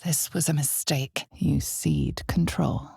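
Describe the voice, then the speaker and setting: An ominous female storyteller for a dark fantasy video game. Her voice is low and husky, with a breathy edge. She narrates slowly and deliberately, with knowing, dramatic weight. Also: steady tone, dry recording, clean studio sound, heavily acted. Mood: solemn, knowing. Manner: measured, deliberate, dramatic, often dropping toward a breathy whisper.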